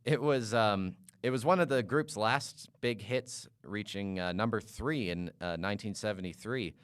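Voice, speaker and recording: A man hosting a casual music interview, phrasing his questions in a hesitant, halting way. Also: on the low side, clean tone, dry recording, clean studio sound. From Calgary, Canada. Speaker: interviewer, podcast host.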